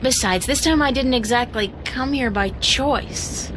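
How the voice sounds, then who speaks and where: A woman's voice: on the high side, a little slow, background noise, natural voice. An actress playing a polite, curious young woman adventurer asking questions.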